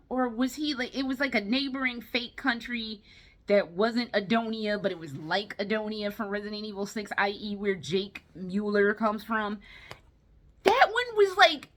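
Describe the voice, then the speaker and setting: A woman's voice: on the high side, expressive, a little slow. A woman giving casual, chatty commentary in a blunt, exasperated way, with plenty of slang and swearing. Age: adult, no longer young. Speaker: vlogger reviewing films.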